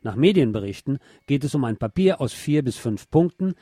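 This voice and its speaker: A man's voice: mid-range voice, animated, a little fast, warm tone, slightly rough, no echo, studio quality. A man reading scripted news commentary in an opinionated way. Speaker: news presenter.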